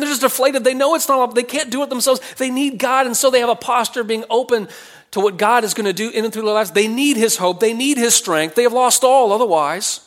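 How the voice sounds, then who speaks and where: Male preacher, slightly high voice, verse-by-verse teaching, explanatory, conversational, question-and-answer delivery, stressed phrases dropping into soft asides. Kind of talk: expository Bible teaching, reading verses and explaining them.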